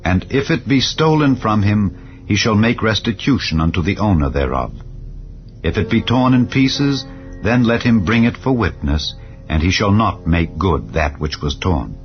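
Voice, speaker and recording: A man narrating scripture in a solemn, measured, declarative manner, as in a dramatized Bible reading. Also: lowish voice, big pitch swings, dull audio. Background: clear background music.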